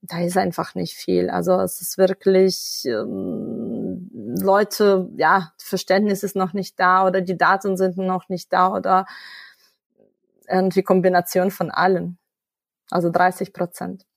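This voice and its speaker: A woman's voice: on the low side, steady tone, a little slow, creaky, no echo. A woman, a data science expert explaining at length as a podcast guest, with frequent fillers and restarts. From Ukraine. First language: Russian. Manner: thoughtful, many fillers and restarts. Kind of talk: podcast interview.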